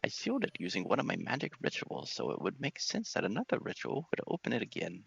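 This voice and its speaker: A man's voice: wildly swooping pitch, quick pace, creaky, a bit bright, slightly rough, no echo, highs cut off, heavily acted. A man voicing a befuddled, pompous, scatterbrained old wizard from a fantasy game. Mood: musing, scatterbrained. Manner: pompous, befuddled, swooping into high pitch. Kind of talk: game dialogue read in character.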